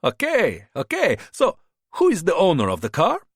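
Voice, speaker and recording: A man voicing a smooth-talking male border fixer of about thirty, speaking broken English with a foreign accent, pushy and flattering. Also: high voice, moderate pace, dry recording, studio quality, pitched above the performer's own voice, exaggerated voice. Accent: unplaceable foreign accent.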